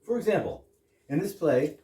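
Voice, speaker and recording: A man's voice: on the high side, sing-song, moderate pace, mellow. A man giving a humorous prepared introduction to an audience, explaining things with dry wit. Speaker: guest introducer of the play. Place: indoor performance space with audience. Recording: echoey room, distant microphone.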